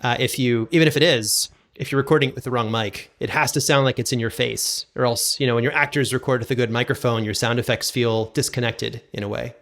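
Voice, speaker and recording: Man explaining casually, mid-pitched. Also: steady tone, fast talker, hardly any pauses, fairly bright tone. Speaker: podcast guest, audio drama producer and sound designer.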